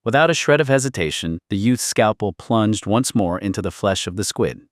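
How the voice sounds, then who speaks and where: A male audiobook narrator reads historical fiction in a solemn, dramatic manner. His voice is on the low side. The recording is very dry, without room sound. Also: animated, moderate pace, no echo. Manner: solemn, literary.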